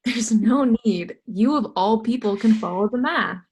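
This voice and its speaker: A woman's voice: on the high side, a little slow, darkish tone. A woman playing a reluctant, ageing astronomer mentor, hesitant, terse and evasive, in a readers theatre stage reading. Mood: guarded.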